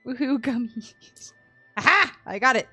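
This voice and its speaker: A woman's voice: fairly high pitch, lively intonation, measured pace, pushed and bright, slightly rough, soft background music. A woman livestreaming a game, chatting casually in a playful, giggly, teasing way. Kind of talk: casual chat while playing.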